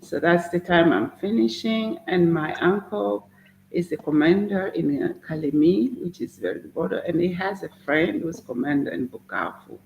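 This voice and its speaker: A woman doctor in her fifties giving a halting personal account with many pauses, over a call. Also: lowish voice, lively intonation, creaky, a little mellow, a little hiss or hum. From Congo. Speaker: doctor and guest. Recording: roomy, dull lo-fi call line.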